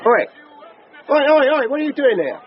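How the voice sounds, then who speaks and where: A man with a London accent acting in a radio drama as a gruff, mocking working-class market butcher. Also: very high voice, very animated, normal speed, highs cut off, some chatter behind, a little hiss or hum. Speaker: actor.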